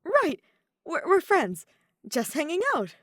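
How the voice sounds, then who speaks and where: A woman performs a theatrical teen girl who styles herself a fallen angel in an audio fan story. She is grandiose but easily flustered into stammers, with lively swings of pitch. The sound is close and dry. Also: slow pace, no room sound, full character voice.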